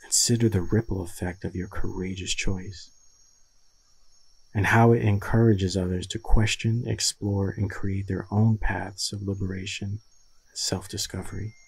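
A man leading a guided meditation in a soothing, deliberate manner with long pauses and a husky voice. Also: low-pitched, flat delivery, poor audio.

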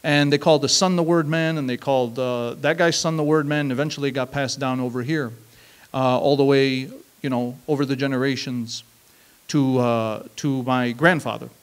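A man's voice: medium pitch, fairly even intonation, clear, resonant tone. Reflective man in his thirties, storytelling. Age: 30s. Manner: reflective, measured, with emphatic repeated phrases. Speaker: spoken word performer. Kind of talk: open mic storytelling monologue. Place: small stage, seated on a stool. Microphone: handheld mic.

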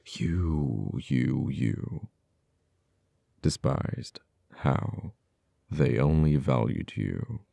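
Man acting very deep, gravelly uptight official. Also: lively intonation, warm tone, dry recording, heavily acted. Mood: bitter, somber. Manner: halting, hesitant delivery with stammers. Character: uptight, wounded male government official, earnest, easily flustered. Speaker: voice actor. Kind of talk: ASMR audio roleplay.

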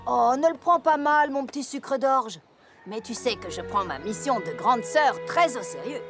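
A woman's voice: a little high, expressive, normal speed, music in the background. A woman voicing a protective young adult big-sister farm pony in a cartoon, affectionate and fretting. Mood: caring, serious. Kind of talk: animated series voice acting.

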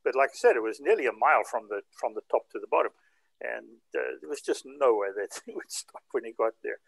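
A man's voice: medium pitch, expressive, fast, vocal fry, breathy edge, no room sound, poor audio. An older man from South Africa chatting casually and in detail on a group video call. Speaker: participant in a hobby group chat. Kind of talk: casual chat, recounting.

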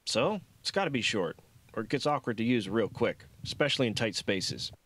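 A man's voice: mid-range voice, expressive, brisk, a bit bright, slightly rough, dry recording. Man playing a cocky, easygoing security guard. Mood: casual, bantering. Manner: casual, confident.

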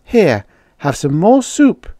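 A man voicing a brisk, bossy, stubbornly practical woman settler in a story, coaxing and defiant by turns. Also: sits high, very animated, dark tone, no echo, studio quality, voice pushed up, heavily acted.

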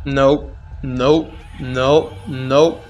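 A man's voice: mid-range voice, steady tone, extremely slow, darkish tone, faint music behind. A man giving reaction commentary on a horror game, jumpy and exclaiming, swearing and repeating himself. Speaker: gaming video creator.

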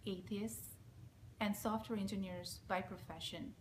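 A woman in her forties with a Bangladeshi accent, Bengali being her first language, reads a prepared message in a measured, solemn, steady way, facing a close camera in a small room. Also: medium pitch, slight background noise, rough recording. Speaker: speaker giving a recorded message. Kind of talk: reading a prepared statement. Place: small indoor room, plain wall. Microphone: front-facing camera at close range.

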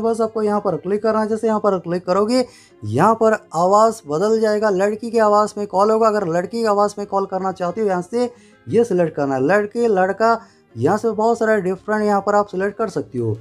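Man, high-pitched, instructional tutorial narration, repetitive phrasing, faint background music. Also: brisk. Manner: instructional, repeats stock phrases. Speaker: tech tutorial presenter. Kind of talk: step-by-step phone tutorial narration.

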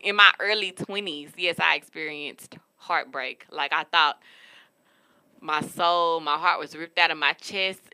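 Bright-voiced young woman telling stories. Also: sits low, unhurried, smooth, rough recording. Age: 20s. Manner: candid, profane, storytelling. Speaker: podcast co-host. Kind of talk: casual chat. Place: studio room with a city backdrop.

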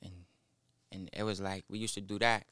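A nineteen-year-old man, a rapper guest in a small studio, speaking haltingly into a boom mic with many fillers. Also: little pitch movement, bright, forceful tone, clear, resonant tone, no echo. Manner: halting, mumbled, full of fillers. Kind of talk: casual interview. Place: small studio with foam wall. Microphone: studio mic on boom arm, headphones.

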